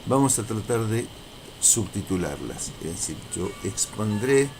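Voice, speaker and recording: A man lecturing in a deliberate, didactic way, repeating key phrases for emphasis as he presents prepared material. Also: on the low side, sing-song, background noise. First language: Spanish. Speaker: university lecturer.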